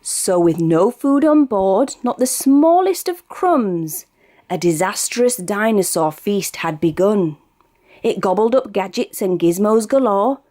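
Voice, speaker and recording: Female British storyteller for a rhyming picture book; expressive, sing-song delivery with a wide pitch range. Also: takes it slowly. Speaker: storybook reader. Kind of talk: read-aloud of a rhyming children's picture book.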